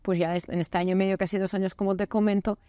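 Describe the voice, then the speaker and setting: A woman's voice: medium pitch, flat delivery, quick pace, warm tone, dry recording. A woman from Spain, a business unit director, talking as a podcast guest in a friendly, candid, conversational way in a studio. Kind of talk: casual interview chat.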